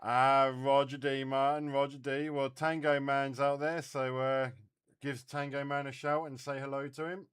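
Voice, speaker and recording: A man hosts an informal radio net with a smooth, clean voice at a middling pitch. He chats casually to operators and stream viewers, feeling his way through sentences in a halting manner. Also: level tone, fairly bright tone.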